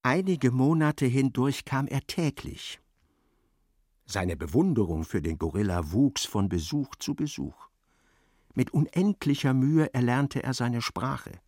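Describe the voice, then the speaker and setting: A man narrating a literary radio play in a measured, dryly ironic way. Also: medium pitch, expressive, a little mellow, dry recording, studio quality.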